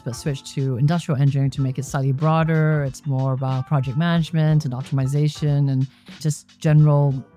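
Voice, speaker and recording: A woman's voice: deep voice, mellow. A woman from Hong Kong, a guest on an interview podcast, who explains her views in a reflective, thoughtful way. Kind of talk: conversational interview answers. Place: members' club lounge.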